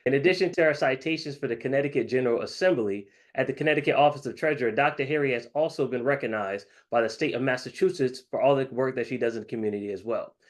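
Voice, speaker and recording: A man's voice: medium pitch, fast, few pauses. A man hosts an interview show with an upbeat, promotional manner. He talks up his guest and her event in a friendly way. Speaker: host.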